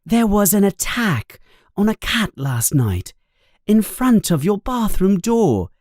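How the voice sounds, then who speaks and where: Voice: mid-range voice, sing-song, slow, a little mellow, no room sound, studio quality, pitched above the performer's own voice. A narrator voicing an earnest, bossy, inquisitive schoolgirl in a storybook, with an emphatic, questioning manner. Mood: inquiring. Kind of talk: storybook character voice.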